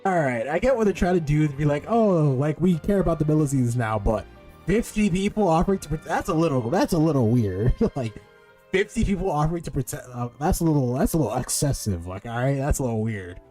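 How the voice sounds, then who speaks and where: A man's voice: fairly high pitch, lively intonation, fairly quick, warm tone. A man doing casual, slangy gameplay commentary as a streamer, repeating himself as he thinks. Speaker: game streamer. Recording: faint game music behind. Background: game music.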